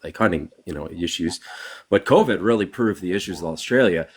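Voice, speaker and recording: A man's voice: sits low, expressive, fairly quick, slightly rough. A man calling into a live stream, arguing politics assertively and listing his points. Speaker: caller. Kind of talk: casual political debate.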